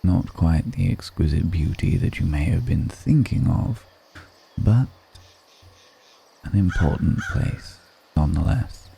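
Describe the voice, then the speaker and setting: A man playing an ancient, refined, wistful male vampire in an intimate ASMR roleplay, speaking slowly and formally with measured pauses. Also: sits low, creaky, warm tone, a little hiss or hum, exaggerated voice. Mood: wistful, solemn. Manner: slow, measured, pausing between phrases, formal wording. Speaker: voice actor in an audio roleplay.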